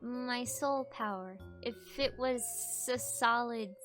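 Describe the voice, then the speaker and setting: A woman's voice: a little high, takes it slowly, fairly bright tone, soft background music. A woman voicing a drunk, sulky fifteen-year-old girl with slurred, defiant speech in a fan fiction reading. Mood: drunk, hazy.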